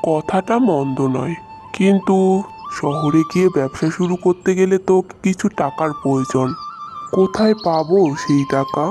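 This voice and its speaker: A man's voice: a little high, moderate pace, a little mellow, soft background music, natural voice. A man voicing a worried, cautious poor village woodcutter in a cartoon, speaking in a plain, musing way. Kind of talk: cartoon story dubbing.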